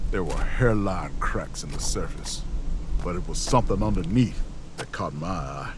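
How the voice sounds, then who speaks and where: A watchful lone traveler narrating an audio drama. His voice is fairly low, deliberate and ominous, in terse phrases. Faint noisy ambience sits behind him. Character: grave, watchful lone traveler on a frozen lake.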